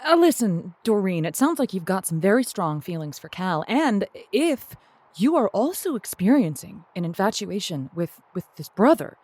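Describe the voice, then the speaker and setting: Lively-pitched female radio host played by an actress, wry, flustered, dry quips, audio comedy. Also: moderate pace, breathy edge, dry recording. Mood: awkward, cautious. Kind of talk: audio comedy drama dialogue.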